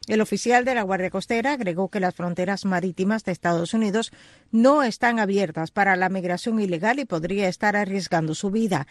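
A man's voice: high-pitched, brisk, no echo. A man reading scripted news as an anchor.

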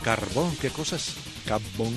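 A man's voice: lowish voice, expressive, unhurried, over music. Joking Havana-accented male radio host. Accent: Havana Cuban Spanish. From Havana, Cuba. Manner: joking, teasing banter. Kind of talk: live morning radio chat.

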